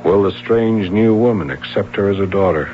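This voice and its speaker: An actor playing a probing, blunt yet courteous police detective sergeant with an American accent in a radio drama. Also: male voice, on the low side, normal speed, lightly acted. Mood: gentle, knowing. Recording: dull, hissy, humming vintage radio broadcast.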